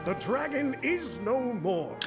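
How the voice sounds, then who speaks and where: A man's voice: extremely high pitch, big pitch swings, slow, loud music behind the voice, heavily acted. A man playing a playful, fond father in a video game, telling heroic tales in a theatrical way. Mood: playful, proclaiming. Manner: theatrical, storytelling.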